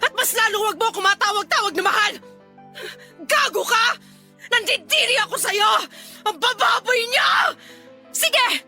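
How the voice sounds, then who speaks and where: Woman acting shrill, enraged betrayed wife. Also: full character voice. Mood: enraged, disgusted. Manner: shouting, insulting, tearful outbursts. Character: betrayed, furious wife confronting husband and mistress. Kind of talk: radio drama acting.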